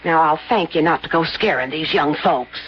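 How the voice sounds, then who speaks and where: An actress playing a plainspoken, scolding, sceptical innkeeper's wife in a radio drama, with folksy rustic speech. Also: female voice, sits low, normal speed, a little hiss or hum, lightly acted. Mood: dismissive, scolding. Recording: muffled old radio broadcast.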